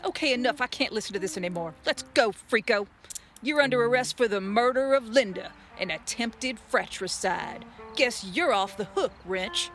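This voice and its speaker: A woman's voice: on the high side, animated, normal speed, fairly bright tone. A woman playing a brash, no-nonsense cop in a comedic audio drama, blunt and sardonic. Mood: fed up. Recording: music under the voice.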